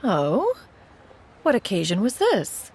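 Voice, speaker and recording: A woman's voice: medium pitch, huge pitch swings, takes it slowly, a little mellow, slight background noise, heavily acted. An actress playing a proud, dignified immortal woman in a video game, with formal, old-fashioned diction. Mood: puzzled. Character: proud, dignified, archaic immortal woman settling into city life. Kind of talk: scripted video game voice acting. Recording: studio voice with game music underneath.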